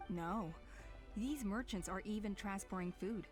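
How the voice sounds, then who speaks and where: A woman's voice: medium pitch, unhurried, music in the background, lightly acted. A woman playing a level-headed clan elder in a video game, speaking in an assured, direct manner. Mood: matter-of-fact.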